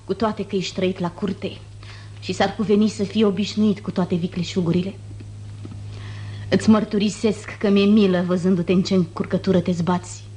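A woman's voice: mid-range voice, warm tone, close to an everyday voice. An actress playing a proud, wounded noblewoman, formal, declamatory and reproachful, in 1950s radio theatre. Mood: scornful.

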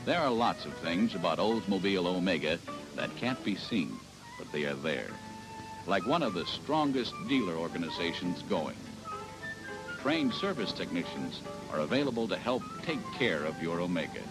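A man's voice: deep voice, sing-song, normal speed, poor audio. An American man narrating a scripted 1970s promotional sales film in a polished announcer's delivery. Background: music.

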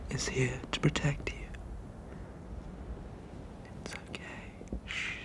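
Sea monster dad someone acting it, whispers real slow n soothing, doting, kinda possessive, asmr roleplay. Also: mid-range voice, natural voice, rough recording. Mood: soothing, tender. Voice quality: breathy whisper. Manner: soothing, hushing, slow and deliberate.

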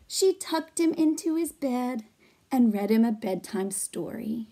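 High-pitched, expressive woman reading to children. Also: a little slow, a little hiss or hum. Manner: gentle, expressive, explaining to children. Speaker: storytime reader. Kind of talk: children's picture-book read-aloud. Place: small furnished room at home.

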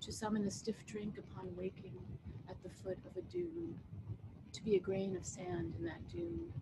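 A woman's voice: medium pitch, big pitch swings, moderate pace, creaky. American woman poet on noisy video call. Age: middle-aged. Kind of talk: poetry reading with casual introductions. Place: book-lined wood-panelled room at home, indoors. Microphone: computer mic on video call.

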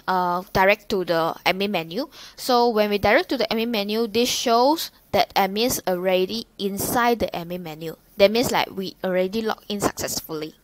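A young woman walks through a software demo step by step, often pausing and leading with "so". Her voice sits in a middle range and sounds dry and close, with no room around it. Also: medium pitch, no echo. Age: young adult. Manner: hesitant, repeats "so" often. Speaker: student presenter. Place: indoors at a desk.

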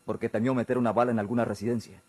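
A Mexican actor playing a stern, dismissive police chief in a golden-age radio drama, speaking formally and with curt authority. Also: male voice, medium pitch, flat delivery, rapid-fire, darkish tone, slightly rough, dry recording, close to an everyday voice. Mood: blunt, authoritative.

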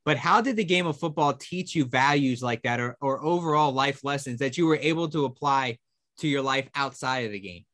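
A young man hosting a school program, introducing and interviewing a guest with an enthusiastic, energetic delivery. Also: mid-range voice, brisk. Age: young adult. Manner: enthusiastic, energetic, talking with his hands. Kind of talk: upbeat presenter introduction and interview. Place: small room with a brick wall and memorabilia.

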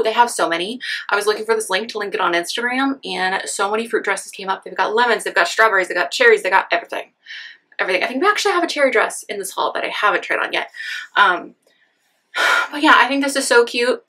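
A young woman with a very bright, rather high voice, bubbling over with enthusiasm as she chats through a try-on haul. She sounds a bit sniffly, with a sinus infection. She talks to a camera set a little way off in a room full of clothing racks. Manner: bubbly and gushing, many "so cute" exclamations. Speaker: fashion haul vlogger. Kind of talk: casual try-on haul chat. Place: decorated bedroom studio with clothing racks.

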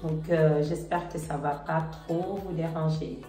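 A middle-aged woman with a cold and a slightly congested voice, chatting casually to camera about products with a relaxed, hesitant manner. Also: low-pitched, a little slow. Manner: relaxed, hesitant, many fillers. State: has a cold. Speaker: beauty vlogger. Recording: roomy, slightly distant, faint music.

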